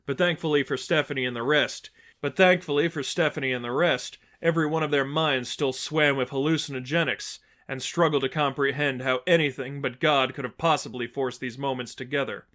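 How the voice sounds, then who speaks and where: A man's voice: medium pitch, steady tone, brisk, a bit bright. A man reading scripted fiction as a narrator, in a storytelling style.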